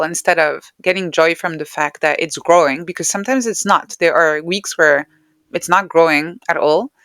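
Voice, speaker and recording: A woman's voice: fairly low pitch, fairly bright tone, smooth, dry recording. A woman speaking English as a second language, answering reflectively as a guest in an interview conversation. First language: not English. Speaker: podcast guest, writer and neuroscientist.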